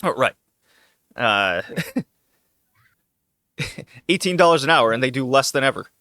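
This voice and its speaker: A man's voice: mid-range voice, lively intonation, a little slow, pushed and bright, no echo, studio quality. A man hosting a casual podcast, loose and joking, laughing often as he talks. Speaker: podcast host. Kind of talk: casual chat.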